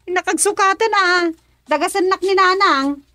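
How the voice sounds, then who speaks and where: A woman's voice: very high-pitched, normal speed, sharp tone, no room sound. An actress with an Ilocano accent playing an inquisitive village councilman's wife in a radio comedy-drama.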